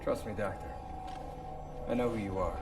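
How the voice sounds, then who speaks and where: A man's voice: on the low side, normal speed, close to an everyday voice. An actor playing an earnest male warship captain in a science-fiction game, urgent and commanding under pressure. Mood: tense, measured. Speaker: ship's captain. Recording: echoey, distant, loud music behind.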